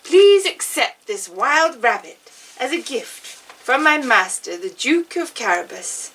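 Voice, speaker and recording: A woman's voice: high voice, sing-song, measured pace, fairly bright tone, husky, recorded from a distance, exaggerated voice. A woman voicing a confident, clever male talking cat from a storybook, with an emphatic, persuasive delivery. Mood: courtly. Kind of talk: storybook character voice.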